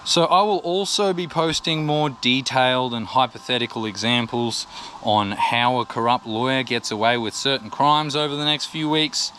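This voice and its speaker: Bright-voiced Australian man, chatty explainer. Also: medium pitch, normal speed, a little hiss or hum. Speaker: vlogger, musician. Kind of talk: casual explainer to camera.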